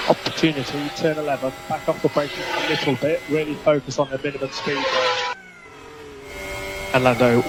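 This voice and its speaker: Clipped male race engineer on noisy radio. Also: medium pitch. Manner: clipped, measured, repeats instructions. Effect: team radio. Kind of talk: instructional radio messages to a racing driver. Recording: noisy motor racing team radio.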